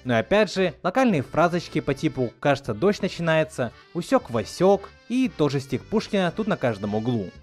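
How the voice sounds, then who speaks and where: A man's voice: fairly high pitch, big pitch swings, normal speed, hardly any pauses. A man narrating a scripted video essay as a host, with a commentator's delivery. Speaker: video host reviewing film dubbing. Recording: voice-over with music underneath. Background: background music.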